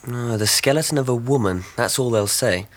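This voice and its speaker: A man's voice: mid-range voice, a little fast, lightly acted. A man playing an earnest, solicitous young English husband in a radio drama, plain-spoken in manner. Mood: concerned. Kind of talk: British radio drama acting.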